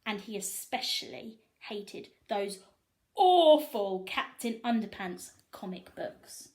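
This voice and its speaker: A woman reading a children's storybook expressively, pausing to put questions to her young listeners. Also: mid-range voice. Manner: animated, asks listeners questions.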